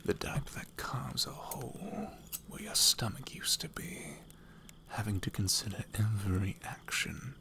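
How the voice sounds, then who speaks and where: A man playing a hardened, menacing killer in a fantasy ASMR audio roleplay, speaking in a cold, deliberate, gravelly near-whisper. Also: lowish voice, sing-song, heavily acted. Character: menacing, hardened male killer who has known starvation.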